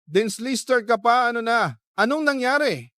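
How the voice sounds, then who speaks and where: Male actor, high-pitched young woman, chatty friend, probing, exclaiming, radio drama, dry sound. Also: animated, moderate pace, sharp tone, dry recording, exaggerated voice. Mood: curious, admiring. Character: nosy, chatty young female friend, high-voiced and concerned.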